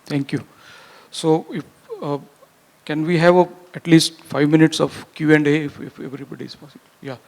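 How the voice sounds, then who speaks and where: A man moderating a conference panel, polite and halting with many repeats. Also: fairly high pitch, fairly even intonation, vocal fry, darkish tone. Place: conference hall.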